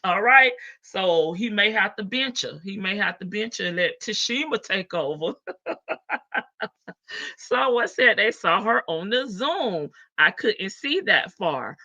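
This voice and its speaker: A woman's voice: mid-range voice, animated, measured pace, pushed and bright, highs cut off. A woman hosting a livestream, chatting playfully about a court case, laughing often and greeting viewers by name. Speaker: livestream host. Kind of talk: casual gossip commentary on a court case.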